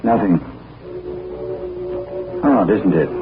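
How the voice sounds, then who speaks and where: An actor playing a refined gentleman detective in a 1940s radio drama, with clipped, polished diction. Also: low-pitched, expressive, drawn-out words, over music, exaggerated voice. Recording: scratchy, tinny 1940s radio broadcast.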